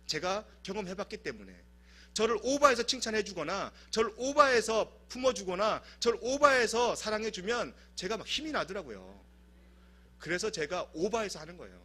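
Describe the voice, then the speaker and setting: Male pastor from South Korea, husky tone, humorous animated preacher. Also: high voice, normal speed. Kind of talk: sermon. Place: church service.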